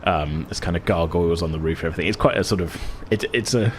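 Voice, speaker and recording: A man's voice: sits low, fast, slight background noise. A man running a tabletop game as game master, narrating casually in a rambling, self-correcting way.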